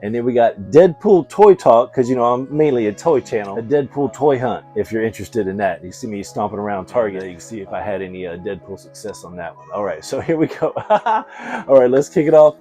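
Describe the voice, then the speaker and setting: Man with a mellow voice, casual vlogger. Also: medium pitch, brisk, soft background music. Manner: excitable, slangy, chuckles often. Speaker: host of a toy and movie review channel. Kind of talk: casual, enthusiastic vlog chat.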